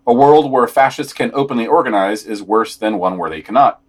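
A man with a mid-pitched, somewhat husky voice reads written summaries and quotations aloud as a podcast presenter. He adds brief commentary of his own as he goes. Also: brisk. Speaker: podcast news reader.

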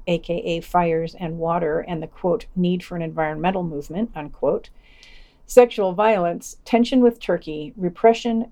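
A woman's voice: on the low side, a little mellow. A woman reading scripted podcast news summaries and quoted articles, with wry editorial touches.

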